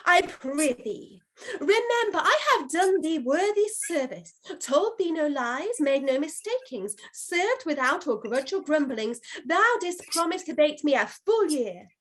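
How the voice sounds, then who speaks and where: A woman's voice: high voice, sing-song, unhurried, fairly bright tone, exaggerated voice, rough recording. A woman playing an airy servant spirit in a Shakespeare reading, declaiming verse in an eager, deferential, theatrical way. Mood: pleading.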